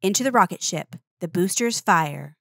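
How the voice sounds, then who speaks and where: A woman reading a children's picture book aloud with expressive, playful emphasis. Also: lowish voice, normal speed, creaky, no room sound, studio quality. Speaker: storybook reader.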